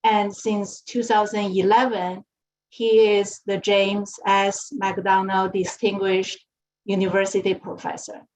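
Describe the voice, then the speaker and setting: A woman moderator reading a formal speaker introduction in a measured way. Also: medium pitch, little pitch movement, a little mellow. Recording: muffled lo-fi audio.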